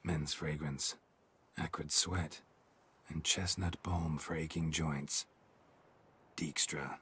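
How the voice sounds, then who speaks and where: Game role: stoic middle-aged monster hunter, gravelly bass register, clipped dry delivery. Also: male voice, slow pace, a little hiss or hum, heavily acted. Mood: dry, suspicious. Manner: terse, clipped, deadpan. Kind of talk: video game cutscene dialogue.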